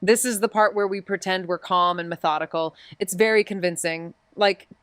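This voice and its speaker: An actress playing a wry, sarcastic amateur detective in a noir audio drama. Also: female voice, fairly low pitch, fairly even intonation, moderate pace, fairly bright tone, clean tone, dry recording, lightly acted. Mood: wry banter. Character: wry amateur girl detective, narrating her father's case.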